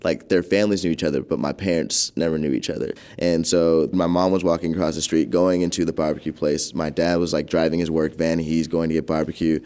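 A man's voice: extremely low pitch, steady tone, a little fast, hardly any pauses, a little mellow. A nineteen-year-old man casually telling a family story, acting out his parents' voices as he goes. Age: young adult. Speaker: community interviewee.

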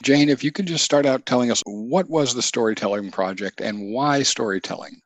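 A man interviewing program staff and students in a relaxed, friendly way. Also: mid-range voice, normal speed, dry recording. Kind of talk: conversational interview questions.

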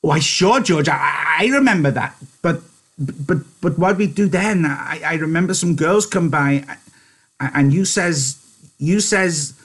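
A man's voice: medium pitch, normal speed, slightly rough, pitched above the performer's own voice, lightly acted. A man voicing a big, simple, childlike ranch hand from a novel, with naive, repetitive, stammering speech. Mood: delighted. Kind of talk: dramatised novel reading.

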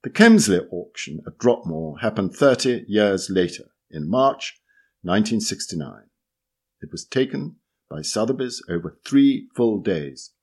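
A man reading a magazine article aloud in measured narration, with lively, varied intonation through long lists. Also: on the low side.

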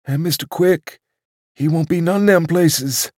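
A man's voice: on the high side, measured pace, a little mellow, no echo, studio quality, pitched above the performer's own voice, close to an everyday voice. A man voicing a sweet, doddering old male club waiter, mournful and respectful.

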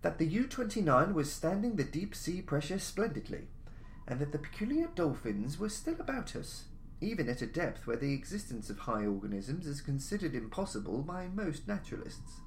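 A man reading a story aloud in a measured, formal, theatrical way, as a podcast narrator. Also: fairly high pitch, sing-song. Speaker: narrator, audiobook-style podcast reader. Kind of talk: dramatic scripted story narration. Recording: noticeable background noise, jungle-like ambience.

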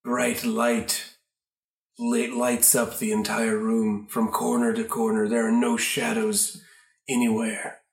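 A man narrating an improvised comic radio play, halting as he gropes for words. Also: mid-range voice, monotone, vocal fry. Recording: roomy, echoey recording.

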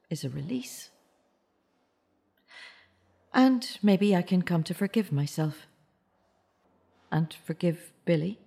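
Expressive mother in a radio drama. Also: low-pitched, gravelly, husky, heavily acted. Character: bereaved mother of a boy, searching, anxiously caring. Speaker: actor in audio drama. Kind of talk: naturalistic radio drama acting.

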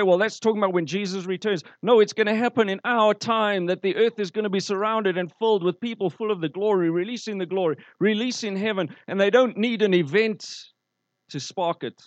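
A man's voice: high-pitched, brisk, no echo, muffled recording. A man preaching a sermon, telling stories and asking the congregation questions. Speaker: pastor.

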